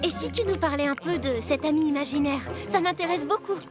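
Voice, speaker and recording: A woman's voice: sits high, brisk, close to an everyday voice. A woman playing a polite, curious young girl adventurer in a French cartoon, courteous and earnest. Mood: earnest, interested. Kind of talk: French animated series dubbing. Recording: music behind the voice.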